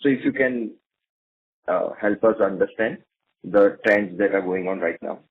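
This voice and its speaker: A man with an Indian accent, an analyst putting questions in a halting way on an earnings call. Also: low-pitched, slightly rough. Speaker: analyst caller. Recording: muffled, dull conference phone line.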